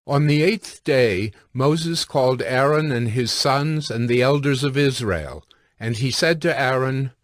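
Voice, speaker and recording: A man narrating scripture from a script in a measured, solemn manner. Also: mid-range voice, no echo, studio quality. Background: music.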